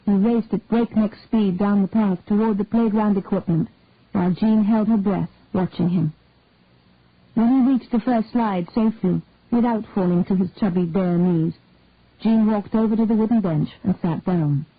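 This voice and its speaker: A woman narrates a short story in a measured, deliberate way, her pitch sitting in the middle range. The recording sounds thin and phone-like, with a completely dry, close sound. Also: breathy edge, no room sound.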